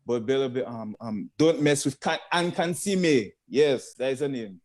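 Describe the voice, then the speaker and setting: A man's voice: medium pitch, expressive, moderate pace, darkish tone. A young man with a Caribbean accent, a guest comedian chatting casually and rambling as he searches for words. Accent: Caribbean English. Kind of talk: casual chat.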